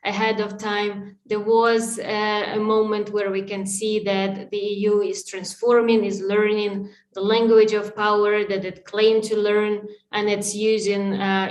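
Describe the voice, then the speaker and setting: A woman expert answers interview questions in a fluent, emphatic way, laying out her points one by one. Her voice sits at an ordinary middle pitch. She is heard over a video call from a roomy, echoey space. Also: darkish tone. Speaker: sanctions expert guest.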